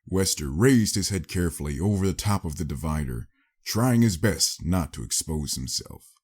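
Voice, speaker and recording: A man narrates a story in a low, husky voice. His delivery is dramatic and scripted, with very lively intonation. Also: moderate pace.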